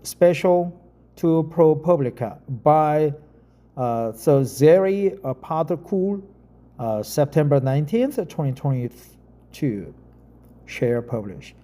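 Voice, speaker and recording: Slow, dark-voiced Korean-accented man. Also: on the high side. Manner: halting, many "uh" fillers and restarts. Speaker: channel host reading articles aloud. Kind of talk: casual monologue and article reading.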